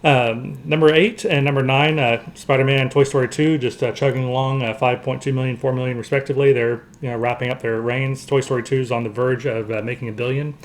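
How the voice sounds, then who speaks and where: A man's voice: mid-range voice, fairly even intonation, fast talker, hardly any pauses. A man co-hosting a podcast, chatting casually through a round-up of entertainment news. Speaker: podcast co-host. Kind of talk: casual box office round-up chat.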